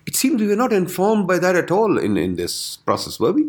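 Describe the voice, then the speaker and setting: A man hosting a conversation who thinks aloud and keeps up soft listening murmurs. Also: sits high, wildly swooping pitch, fairly quick. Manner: reflective, lots of listening murmurs. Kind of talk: conversational discussion.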